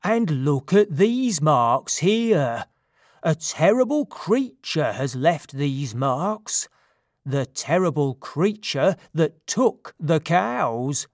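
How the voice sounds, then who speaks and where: A big, dopey satyr leader out of a storybook myth, as a reader puts him on, booming and dragging out his words in a lively, up-and-down voice. the sound is dry and close. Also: extremely slow, dry recording, full character voice. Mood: excited. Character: fat, dim-witted male satyr leader.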